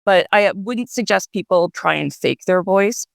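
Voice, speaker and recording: Lady psych professor explaining her study, bit of vocal fry, talks it through carefully, dry sound. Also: medium pitch, expressive, dry recording. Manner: measured, explanatory, many "you know"s. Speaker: psychology researcher guest. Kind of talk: explaining research in an interview.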